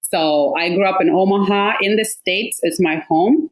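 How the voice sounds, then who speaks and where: A woman's voice: medium pitch, normal speed, rough recording. A woman in her thirties from South Sudan, a guest author telling her story thoughtfully, with some hesitation and emotion. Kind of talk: conversational interview.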